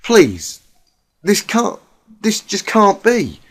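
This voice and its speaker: A man's voice: high-pitched, wildly swooping pitch, very slow, pitched above the performer's own voice, exaggerated voice. A man voicing a worried, disbelieving young female unicorn pony, urgent and stammering, in a storybook drama.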